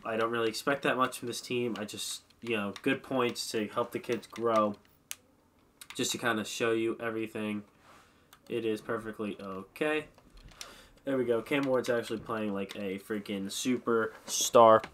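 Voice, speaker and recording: A man's voice: lowish voice, fairly even intonation, moderate pace, fairly bright tone, slight background noise. Rambling congested young gamer man. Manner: rambling, self-mocking, swears. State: slightly congested. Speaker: gaming commentator. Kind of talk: casual game commentary. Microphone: desk mic.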